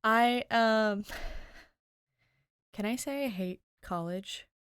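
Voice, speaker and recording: A woman's voice: on the high side, slow pace, a bit bright, smooth, no room sound, studio quality. A young adult woman reading fiction aloud and chatting informally as host of her reading channel. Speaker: podfic reader and channel host. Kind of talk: story narration and chatty sign-off.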